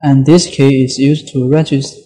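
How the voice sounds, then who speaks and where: Male tutorial presenter, mellow and even-toned, explaining software steps haltingly with frequent mid-sentence pauses. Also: mid-range voice, low-quality recording. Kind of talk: unscripted step-by-step software walkthrough.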